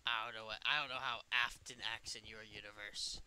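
A man's voice: fairly low pitch, steady tone, a little fast, noisy background. A man role-playing a smug, teasing evil puppet killer in playful, mock-innocent banter over a game. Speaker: role-play gamer.

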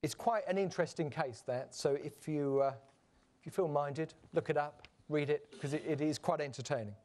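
A man delivers a conference presentation from the stage. His voice sits a little high for a man, and he explains things in a measured way, pausing on fillers and restarting phrases as he goes. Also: darkish tone. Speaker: conference panel speaker.

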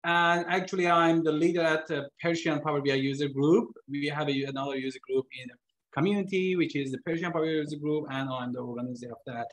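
A man from Iran giving an earnest, slightly halting presentation to an online meetup over a video call. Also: a little high, poor audio. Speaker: guest speaker, trainer.

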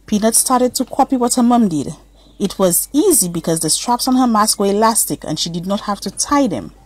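A woman's voice: mid-range voice, animated, fairly quick, breathy edge, dry recording. A woman narrating a children's story as a scripted read-aloud.

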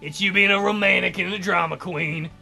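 A man voicing a caring, practical young woman from a visual novel, gently scolding and teasing. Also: sits high, fairly even intonation, brisk, creaky, faint music behind, heavily acted. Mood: gentle, sincere.

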